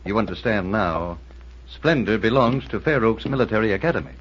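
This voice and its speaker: An actor playing a kindly, authoritative major at a military academy, formal and explanatory, in old-time radio style. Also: male voice, fairly low pitch, expressive, a little fast, slightly rough. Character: measured, kindly, authoritative middle-aged army major running academy. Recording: muffled, noisy old radio recording.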